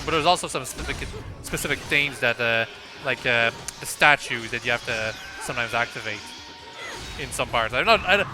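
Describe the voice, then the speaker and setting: A man talks through a video game as he plays it. His voice is very sharp and bright, sitting a little high. He rambles casually, pausing to think aloud, with game music behind him. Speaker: gaming video host. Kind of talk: casual game playthrough commentary.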